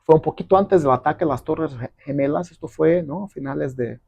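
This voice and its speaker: A man's voice: on the high side, fairly quick, creaky, darkish tone, slightly rough. A middle-aged man whose first language is not Spanish, telling his story in a casual interview, rambling with many fillers and tag questions. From abroad, not Mexico. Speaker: guest entrepreneur.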